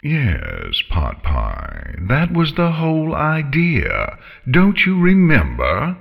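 A man's voice: fairly high pitch, wildly swooping pitch, slow pace, gravelly, long reverb tail, dull audio, voice pushed up, full character voice. Man as a swooping, haughty female dragon. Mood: casually menacing. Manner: theatrical, nonchalant, prim, condescending. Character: haughty, chipper female dragon, breezily menacing.

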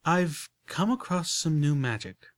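A man's voice: fairly high pitch, animated, a little slow, dry recording, voice pushed up. Rarity, a man putting on a prim, genteel unicorn lady. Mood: confiding. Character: elegant refined unicorn mare, prim and enthusiastic.

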